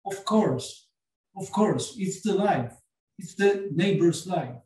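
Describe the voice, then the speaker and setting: A middle-aged Polish man speaking English with a Polish accent, talking earnestly on a film panel and often pausing to search for words. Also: sits high, expressive, a little mellow. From Poland. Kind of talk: panel conversation. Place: small meeting room with sofas. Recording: echoey room, voice far from mic.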